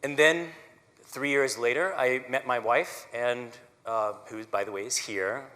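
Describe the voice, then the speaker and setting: Man in his 30s, conductor giving a community talk. Earnest and chatty, bright, clear tone, long hall reverb. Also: medium pitch, measured pace. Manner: earnest, conversational, frequent "right?" asides. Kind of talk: personal public talk. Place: auditorium stage.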